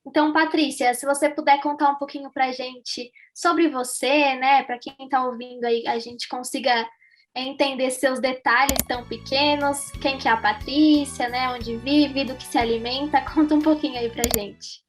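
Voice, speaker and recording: A woman's voice: sits high, steady tone, moderate pace, soft background music. A Brazilian woman co-hosting a podcast in a casual, conversational style. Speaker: podcast co-host.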